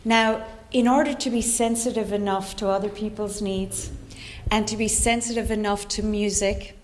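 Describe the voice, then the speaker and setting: A woman's voice: mid-range voice, fairly even intonation, normal speed. A middle-aged woman with an Irish accent telling her personal story to a live audience, hesitant and reflective, with dramatic repetition. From Ireland. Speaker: guest speaker, orchestra conductor and composer. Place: indoor conference room before a live audience. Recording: echoey room with faint background noise.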